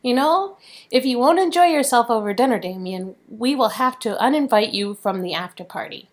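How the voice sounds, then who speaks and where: A woman's voice: a little high, expressive, moderate pace, lightly acted. A woman playing bossy, fun-loving young women in a game story, in a teasing, playful manner. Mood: scolding.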